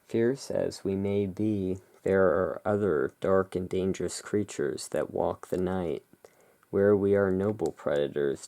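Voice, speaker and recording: Slow, low, creaky ASMR voice. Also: mellow. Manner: musing, unhurried. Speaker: tarot reviewer in a roleplay as emporium owner. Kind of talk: relaxed, unscripted show-and-tell commentary.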